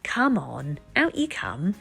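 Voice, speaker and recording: A woman voicing a kindly, patient man who keeps a workshop in a children's storybook, gentle and reassuring as he calls out. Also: lowish voice, wildly swooping pitch, takes it slowly, gravelly, slightly rough, faint music behind, exaggerated voice. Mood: gentle, concerned.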